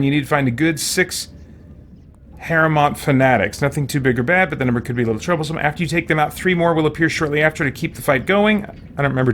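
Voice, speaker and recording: A man's voice: on the high side, fairly bright tone. Male game streamer, faint background noise. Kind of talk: casual gameplay commentary, muttering to himself.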